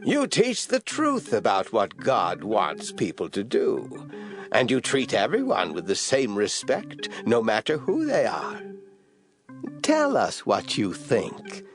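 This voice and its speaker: A man playing a Pharisee's follower in an audio Bible drama, flattering a teacher with slow, deliberate, ingratiating speech. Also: on the low side, over music, heavily acted. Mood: flattering, probing.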